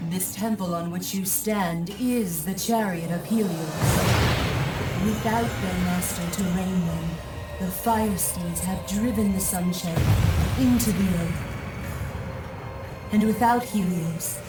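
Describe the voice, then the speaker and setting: An actress voicing a solemn, commanding goddess in a video game, grave and authoritative. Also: female voice, medium pitch, takes it slowly, close to an everyday voice. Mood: stern, solemn. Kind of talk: dramatic video game acting. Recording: distant, echoing voice under music.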